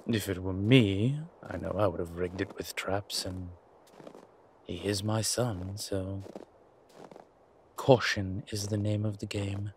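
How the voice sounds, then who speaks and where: Man acting as low-voiced, wry vampire gentleman. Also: steady tone, a little hiss or hum. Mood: wry, cautious. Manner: refined, formal, wry phrasing with hesitant pauses. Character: dry, haughty old vampire gentleman, guarded and wry. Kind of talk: intimate roleplay audio drama.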